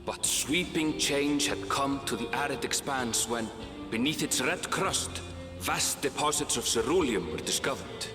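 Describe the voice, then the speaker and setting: A man voicing a reflective travelling companion in a video game, with measured, lyrical delivery. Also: mid-range voice, lively intonation, close to an everyday voice. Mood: reflective, solemn. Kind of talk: scripted game narration and dialogue. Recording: long reverb, music behind.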